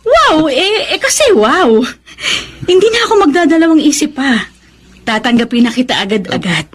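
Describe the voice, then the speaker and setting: An actress with a Filipino accent playing an expressive, warm, middle-aged divorced woman newly in love in a radio drama. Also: sits high, normal speed. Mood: flustered delight.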